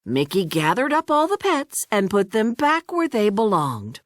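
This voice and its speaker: A woman narrating a children's read-along storybook in an expressive, deliberate storytelling manner. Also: medium pitch, no room sound, clean studio sound.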